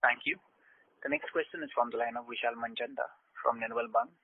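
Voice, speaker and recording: A thin, dry phone line carries a man with a gravelly, lively-pitched voice. He moderates a conference call in formal, scripted phrases. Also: brisk, dry recording. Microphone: telephone.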